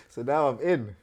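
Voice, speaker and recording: A middle-aged man from Trinidad, telling his story as an interview guest in animated, slangy casual talk. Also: mid-range voice. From Trinidad and Tobago.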